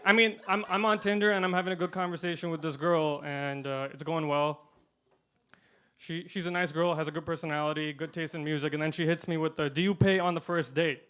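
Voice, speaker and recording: A man doing a stand-up comedy set, delivering punchlines deadpan and swinging between loud and quiet. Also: on the high side, a little fast, clean tone. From India.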